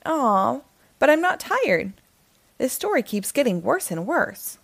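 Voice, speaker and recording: Woman putting on a high-pitched, cheeky storybook boy. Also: big pitch swings, unhurried, vocal fry, slightly rough, no echo, exaggerated voice. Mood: whiny, complaining. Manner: complaining, exclamatory back-talk. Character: cheeky, whiny young boy fairy-tale hero, reluctant and sarcastic. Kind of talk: children's storybook read-aloud.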